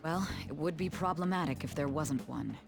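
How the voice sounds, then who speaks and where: A woman's voice: low voice, moderate pace, creaky, pitched above the performer's own voice. A woman voicing a stern, ambitious female prosecutor who speaks in a clipped, determined way. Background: game music.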